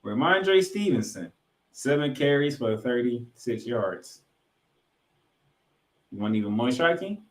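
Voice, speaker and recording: An American man hosting a football recap, announcing names and stats in an emphatic, drawn-out way. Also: mid-range voice, lively intonation. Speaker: sports podcast host. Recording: roomy, echoing sound.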